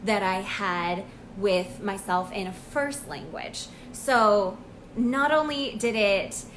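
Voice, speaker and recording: An American woman, a language coach, talking about her own experience in a casual, earnest vlog monologue with frequent pauses and ums. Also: medium pitch, fairly even intonation, fairly bright tone, slight background noise, rough recording. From United States. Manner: earnest, reflective, with pauses and fillers.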